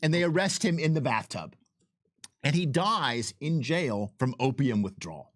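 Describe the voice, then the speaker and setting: Guy doing dev commentary, really lively pitch, emphatic storyteller, casual and off the cuff, close dry sound. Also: normal speed, no room sound. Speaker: game developer giving commentary. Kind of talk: casual unscripted livestream chat.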